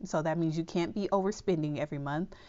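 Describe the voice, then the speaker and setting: A woman's voice: sits low, fast, warm tone, dull audio. A woman hosting a budgeting channel, a little under the weather and slightly nasal, explaining a plan in a chatty, reassuring way and often checking in with "okay?". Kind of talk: casual explainer to camera.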